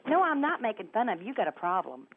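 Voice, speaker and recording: A woman's voice: on the high side, big pitch swings, fast talker, breathy edge. A woman calling a radio show by telephone, speaking in a blunt, scolding, argumentative manner. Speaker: radio phone-in caller. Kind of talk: casual argumentative phone-in chat. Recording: narrow phone line with faint noise.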